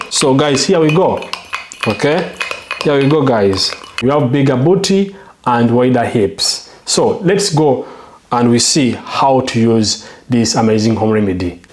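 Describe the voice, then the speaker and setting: A man's voice: mid-range voice, noisy background. A man with a Ugandan English accent hosts a how-to video. His delivery is emphatic and promotional, walking viewers through steps and repeatedly checking that they follow. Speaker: channel host.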